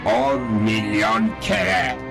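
A man playing a calm, threatening villainous crime boss in a dubbed cartoon, speaking with deliberate menace. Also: medium pitch, vocal fry, full character voice. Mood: cold menace. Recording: loud music behind.

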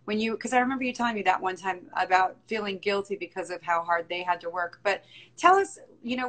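A woman's voice: medium pitch, fairly bright tone. A middle-aged woman hosting a live video call interview, talking earnestly in long, rambling stretches full of fillers. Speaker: host. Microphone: video call on phone.

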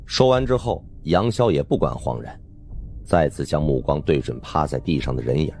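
A man narrates a suspense audiobook in an extremely low voice. His delivery is dramatic, with wide swings of intonation. Soft music runs behind him. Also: lively intonation, moderate pace, vocal fry.

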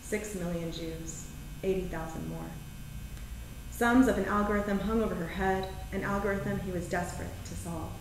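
A woman's voice: fairly low pitch, fairly even intonation, moderate pace. Echoey, distant woman reading on stage. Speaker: poet reading own work at a live event. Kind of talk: poetry and prose reading with short spoken introductions. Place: theater stage, indoors. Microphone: distant camera microphone. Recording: very echoey theater, distant, faint noise.

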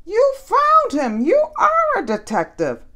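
A woman voicing a strange, excitable cat-loving little girl in a storybook, with dramatic, drawn-out emphasis. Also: extremely high pitch, voice pushed up, full character voice. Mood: excited. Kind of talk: storybook character voice.

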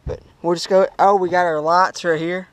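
A young man giving a playful, teasing tour of a bass boat outdoors on a lake, laughing often, recorded on an action camera. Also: little pitch movement, normal speed, a little hiss or hum. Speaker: vlog host.

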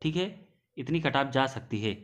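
Man explaining, mid-pitched voice. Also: animated, normal speed, a bit bright. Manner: repetitive, addresses listeners as brothers. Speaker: exam-preparation YouTube presenter. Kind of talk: informal explainer over on-screen notes.

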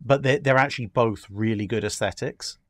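A man's voice: mid-range voice, fairly quick, no room sound. A man in casual, discursive chat, talking with wry amusement. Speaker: podcast guest answering fan questions.

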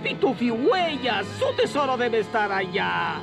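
A scheming cartoon wizard, pitched high and swooping wildly. He is irritable and theatrical, drawing out his gloating and grumbling over background music. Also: wildly swooping pitch, measured pace, vocal fry, exaggerated voice. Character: scheming, irritable middle-aged male wizard villain. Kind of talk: cartoon dub acting. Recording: music behind the voice.

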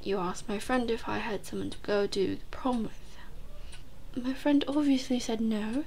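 Young vampire noblewoman played by a woman, audio roleplay. Highish, soft and whispery, haughty yet secretly caring, over noisy ambience. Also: measured pace. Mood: earnest, defensive. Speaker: roleplay voice actor. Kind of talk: intimate audio roleplay, addressing the listener.

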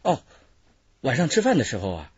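A man's voice: mid-range voice, huge pitch swings, a little fast, darkish tone, breathy edge, no room sound, full character voice. An actor playing a knowing, gossipy male hotel attendant as a witness in a radio drama. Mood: confiding. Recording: dull lo-fi radio drama recording.

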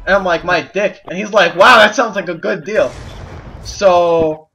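A man improvising a comic story to friends over voice chat, halting and giggly, often repeating himself. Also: sits high, over music. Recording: noisy gaming voice chat with clicks.

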